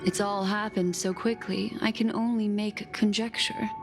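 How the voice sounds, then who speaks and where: A woman playing a poised, enigmatic and courteous guide in a video game, speaking in a measured way. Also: medium pitch, flat delivery, lightly acted. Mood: analytical, grave. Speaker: game character. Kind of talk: scripted video game dialogue. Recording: music behind the voice.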